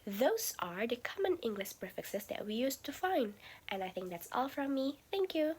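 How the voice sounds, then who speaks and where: A woman giving a class presentation, explaining word parts slowly and carefully, sometimes dropping to a soft whispery delivery. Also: on the high side, big pitch swings, breathy edge. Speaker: student presenter.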